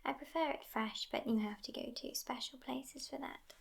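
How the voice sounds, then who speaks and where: A woman playing a sassy, aloof, youthful but centuries-old vampire girlfriend in a soft, near-whispered ASMR roleplay. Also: sits high, normal speed, vocal fry, slightly rough, full character voice. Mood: languid, sassy. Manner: languid, aloof, hushed, often near whispering.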